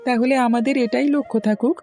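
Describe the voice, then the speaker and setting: An eager male villager from a cartoon , acted by a man, with a soft, dark-toned voice. He sounds polite and earnest, and music plays behind him. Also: very high-pitched, fairly even intonation, fast. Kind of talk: cartoon dialogue.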